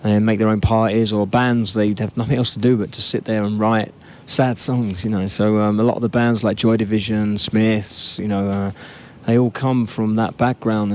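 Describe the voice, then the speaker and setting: A man speaking low and with little rise or fall, answering interview questions in a relaxed, thoughtful way. A faint hum of background noise sits under his voice. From England. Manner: laid-back, even, reflective. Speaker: musician guest.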